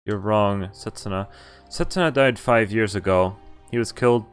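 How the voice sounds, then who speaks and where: A man's voice: fairly low pitch, smooth, soft background music. A man streaming a game, reading visual novel text aloud and adding casual commentary. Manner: halting asides, casual swearing.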